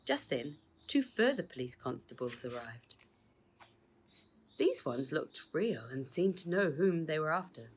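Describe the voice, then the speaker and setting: A woman's voice: deep voice, big pitch swings, unhurried, a little hiss or hum. A woman reading her own children's novel aloud as scripted narration, acting out the dialogue expressively.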